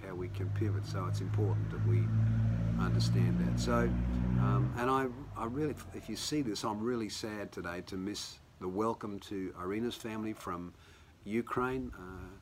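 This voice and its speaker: Croaky pastor with a low voice. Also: male voice, moderate pace, noisy background. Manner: conversational, restarts often. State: hoarse. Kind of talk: sermon. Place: at home.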